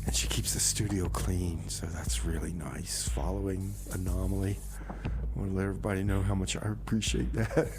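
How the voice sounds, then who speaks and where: A man's voice: sits low, fairly even intonation, moderate pace. Folksy male radio DJ, faint noise. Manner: rambling, folksy. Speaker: community radio DJ and show host. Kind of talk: casual, comic radio banter and song announcing.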